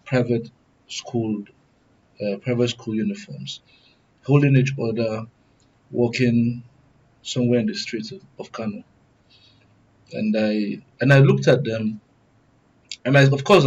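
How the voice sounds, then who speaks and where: A man with a Nigerian accent giving serious panel commentary in a deliberate, emphatic, oratorical way. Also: mid-range voice, muffled recording, a little hiss or hum. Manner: deliberate, emphatic, oratorical, repeats phrases for weight. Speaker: guest commentator, good governance advocate.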